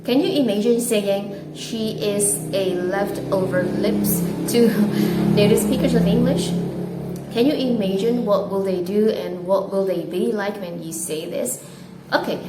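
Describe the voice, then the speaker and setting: A woman who speaks natively, hosting an English-learning channel and explaining a lesson slowly and deliberately, with some filler words. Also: mid-range voice, loud music behind the voice. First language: Indonesian. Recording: echoey room with clear background noise.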